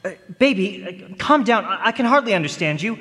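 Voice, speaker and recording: A man's voice: high voice, expressive, fairly quick. An actor playing an agitated, fidgety young man in trouble, nervous and earnest, in a live radio drama. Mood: anxious. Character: agitated, fidgety, disheveled young fiancé client. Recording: live in echoey concert hall.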